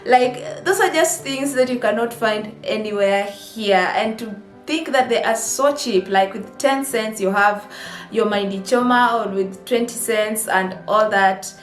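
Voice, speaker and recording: A woman's voice: on the high side, a little slow. A young adult woman from Kenya with a Kenyan accent, chatting casually to camera as a vlogger, full of exclamations and asides. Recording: echoey room with faint music.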